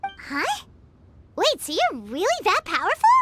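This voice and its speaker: A woman voicing a tiny, excitable childlike fairy girl in a game, with a squeaky, cutesy delivery. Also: unhurried, a little hiss or hum, heavily acted. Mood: surprised, excited. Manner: cutesy, refers to self in third person. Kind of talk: scripted game dialogue acting.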